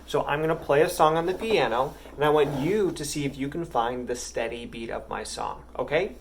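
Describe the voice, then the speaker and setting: A man's voice: medium pitch, normal speed, vocal fry, heavy background noise. Encouraging male music teacher. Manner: patient and encouraging, speaking to young pupils. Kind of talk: recorded music lesson for children.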